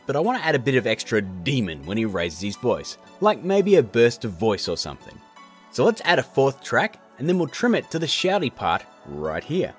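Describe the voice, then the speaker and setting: A man hosting a software tutorial in a casual, chatty, jokey narrating style. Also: medium pitch. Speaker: tutorial host.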